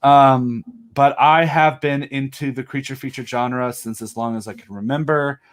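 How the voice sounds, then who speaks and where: A man's voice: medium pitch, fairly even intonation, normal speed. A man from the southern United States chatting casually as a podcast guest, joking and telling personal stories.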